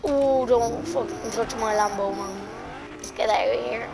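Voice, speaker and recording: A woman's voice: on the high side, a little slow, vocal fry, over music. A young woman giving casual, sweary gameplay commentary, exhausted, with her voice nearly gone. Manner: slangy, sweary, addresses viewers as boys. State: exhausted and hoarse. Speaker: gaming video creator.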